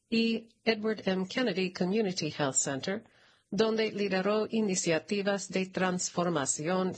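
A woman reading a scripted news announcement aloud in a formal, measured manner. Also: medium pitch. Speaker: narrator reading a press release.

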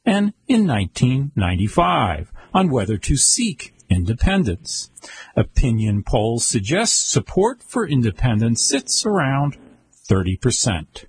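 A man reading world news aloud at a slow, halting pace, stumbling over words and correcting himself. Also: mid-range voice, breathy edge, no room sound. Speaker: news reader.

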